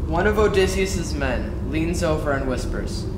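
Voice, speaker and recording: A man's voice: on the high side, animated, a little slow. A man narrating a scripted story as the narrator of an amateur puppet show. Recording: echoey hall with background noise.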